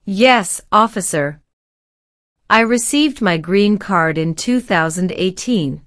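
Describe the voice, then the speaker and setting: A woman in her forties from Syria, giving polite, formal, measured answers as a citizenship applicant. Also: mid-range voice, lively intonation, fairly bright tone, no room sound, studio quality. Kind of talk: scripted interview answers.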